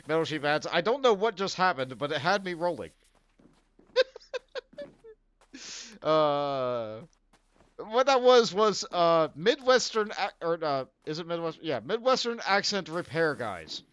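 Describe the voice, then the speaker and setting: Animated man chatting on a game stream. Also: a little high, unhurried, long pauses, a little hiss or hum. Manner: rambling, self-deprecating, laughs often. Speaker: streamer host. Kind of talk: casual gaming stream chat.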